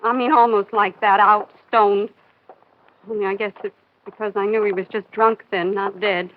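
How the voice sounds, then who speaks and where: A woman's voice: mid-range voice, fairly even intonation, normal speed, natural voice. An actress playing a shaken, slangy, hesitant female elevator operator in an old American radio drama. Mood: shaken, uneasy. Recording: tinny, noisy old radio recording.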